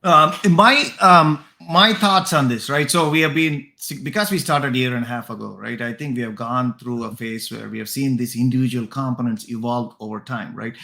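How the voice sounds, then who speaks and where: Guy co-hosting a tech chat, mid pitch, thinks out loud, bit hesitant, keeps checking if you follow. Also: normal speed, creaky, few pauses. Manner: hesitant, thinking aloud, frequent "right?" tags. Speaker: co-host of a tech discussion show. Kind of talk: casual analytical discussion.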